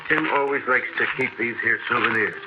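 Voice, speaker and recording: A man's voice: medium pitch, brisk, dull audio, heavy background noise, close to an everyday voice. An actor playing an uneasy, superstitious barman with folksy, ungrammatical American talk, in 1940s radio drama style. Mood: spooked, confiding. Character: uneasy, superstitious old-time barman who believes in ghosts. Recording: old radio recording with music behind.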